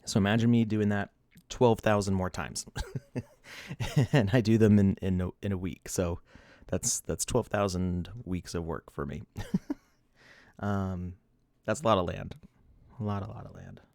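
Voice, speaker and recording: A man's voice: low voice, steady tone, a little slow, pauses often, a little mellow, no room sound, clean studio sound. A man in his thirties hosting a casual livestream, rambling, laughing often and a little sniffly. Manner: rambling, laughs often, restarts, reads chat. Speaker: livestream host.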